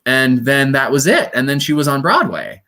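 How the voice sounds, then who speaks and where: A young man from Colorado, a stage performer, chatting casually in an interview with lively, self-deprecating asides. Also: mid-range voice, moderate pace, slight background noise. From Colorado, USA. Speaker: guest performer.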